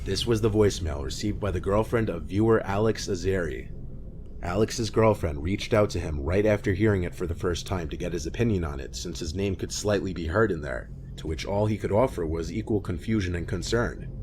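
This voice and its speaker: A man reading a scripted scary-story narration in a measured, matter-of-fact way. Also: low-pitched, a little mellow, a little hiss or hum. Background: music bed.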